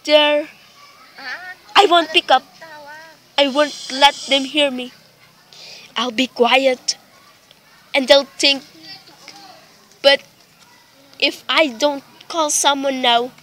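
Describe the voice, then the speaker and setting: A woman's voice: high-pitched, fairly bright tone, slight background noise. A woman playing a nervous, demanding invalid wife in a dramatic radio play. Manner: imperious, dramatic, fretful.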